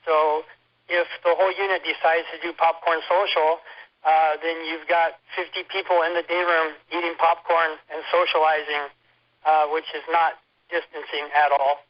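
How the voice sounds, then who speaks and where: Guy with a highish voice airing complaints on a dull lo-fi phone call, just telling it informally. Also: level tone, normal speed. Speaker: hospital patient reporting complaints on a conference call. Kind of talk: informal first-hand account. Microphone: telephone. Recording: muffled lo-fi telephone conference line.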